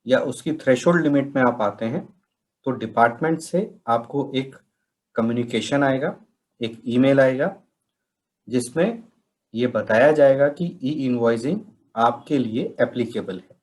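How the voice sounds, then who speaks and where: Slow-paced man giving methodical instruction. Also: mid-range voice. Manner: methodical, step-by-step explaining. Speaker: software trainer presenting a tutorial. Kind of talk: instructional slide narration.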